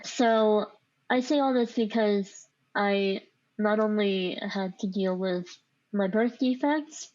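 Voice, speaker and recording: A woman's voice: medium pitch, darkish tone, no echo. Woman with a speech impediment, dull dry recording. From born overseas in Vietnam, grew up in the United States. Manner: informal, reflective, peppered with "like". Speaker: podcast guest telling their life story. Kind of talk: candid personal storytelling in an interview.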